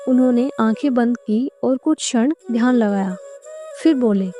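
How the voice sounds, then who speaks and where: Female narrator for cartoon fables: warm dark tone, slightly high register, scripted storytelling, faint music bed. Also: moderate pace.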